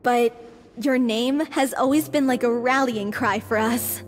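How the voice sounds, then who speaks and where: A woman's voice: fairly high pitch, steady tone, unhurried, close to an everyday voice. A woman playing an earnest young theatre troupe member in a video game. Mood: thoughtful, practical. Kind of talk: video game character acting.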